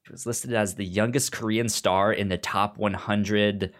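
A man co-hosting a podcast, chatting casually in a halting, rambling way and often repeating words as he goes. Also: fairly low pitch, fairly even intonation. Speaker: podcast co-host reviewing a TV drama. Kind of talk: casual chat.

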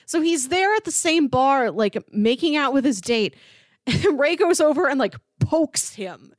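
Lively woman with a dry, close sound. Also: high voice, measured pace, no room sound. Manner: animated storyteller, acting out quoted lines. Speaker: film podcast host. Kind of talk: casual chat, retelling film scenes.

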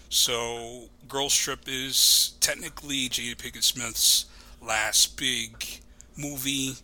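Guy guesting on a podcast, really sharp bright voice, rambly casual storytelling, mid pitch. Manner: rambling, digressive.

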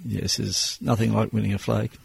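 A man in his sixties with an Australian accent, answering interview questions as a business owner in a plain-spoken, measured way. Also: lowish voice, level tone, vocal fry, a little hiss or hum. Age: 60s.

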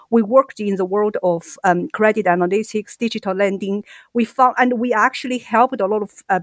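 A woman from China, a company co-founder, answering interview questions in a measured way with some fillers. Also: medium pitch, dry recording, studio quality. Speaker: COO and co-founder, guest.

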